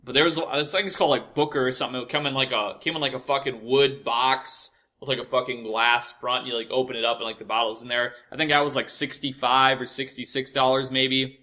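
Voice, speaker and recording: Bright-voiced American man rambling casually. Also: mid-range voice, fairly even intonation. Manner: profane, repetitive, halting and self-correcting. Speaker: host of a personal storytelling show. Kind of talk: casual rambling personal storytelling.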